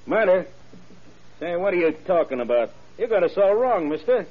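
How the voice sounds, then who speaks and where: Animated, high-pitched male crook, old radio. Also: moderate pace. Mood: indignant, evasive. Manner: folksy, protesting, slangy. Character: rough, defensive male drifter, small-time crook. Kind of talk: 1930s radio acting. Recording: dull old radio recording.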